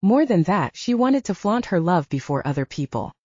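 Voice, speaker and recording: A woman's voice: fairly low pitch, sing-song, normal speed, dark tone, slightly rough, no echo, dull audio. A woman reading literary fiction as a scripted audiobook narration, moving between story and dialogue.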